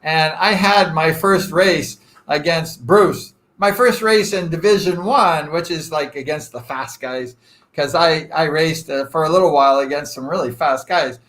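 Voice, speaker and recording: Chatty rambling man, fairly high voice. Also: a little slow, a bit bright. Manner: rambling and chatty, self-deprecating joking, frequent fillers. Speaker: live-stream host. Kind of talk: casual live chat with viewers.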